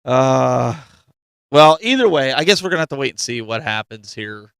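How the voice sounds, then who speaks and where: A man's voice: medium pitch, sing-song, moderate pace, vocal fry, a bit bright, clean tone, dry recording, studio quality. A man co-hosting a gaming news show with casual banter, informally prodding with questions.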